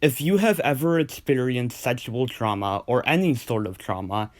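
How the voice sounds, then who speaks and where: Man, mid-pitched voice, earnest, informal, scripted review commentary, stresses key words. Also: expressive, normal speed. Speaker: host of a video list of cartoon episodes.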